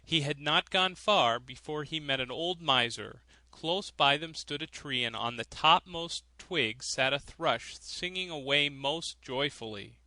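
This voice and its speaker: A man narrating a fairy tale audiobook in an expressive storytelling manner. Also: on the high side, unhurried, few pauses, no echo.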